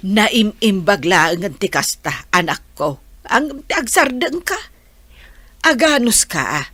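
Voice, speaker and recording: A woman with an Ilocano accent acting a gentle village family role in a radio drama. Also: mid-range voice, sing-song, slow, no echo, exaggerated voice. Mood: reassuring.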